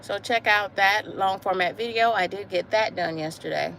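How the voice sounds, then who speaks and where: A woman in her forties chatting casually on a livestream while out walking, repeating herself warmly and calling her viewers y'all. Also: mid-range voice, normal speed, gravelly. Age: 40s. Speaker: livestream host. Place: outdoors on a walk, wind noise. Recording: faint wind noise.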